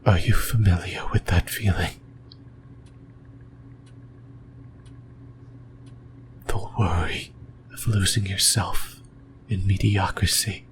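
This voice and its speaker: A man playing a possessive, lonely sleep paralysis demon in a creepy ASMR roleplay, whispering breathily in slow, halting phrases. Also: low-pitched, background noise. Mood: brooding, menacing. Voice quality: breathy, mostly voiceless whisper. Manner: slow, deliberate, halting phrases with long pauses.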